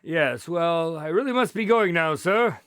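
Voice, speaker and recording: An inquisitive police consultant in a radio drama. His voice sits a little high and is recorded very dry. He speaks politely and with measured care. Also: animated, fairly bright tone, smooth, no room sound. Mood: politely evasive. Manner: courteous, measured questioning. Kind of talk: radio drama acting and narration.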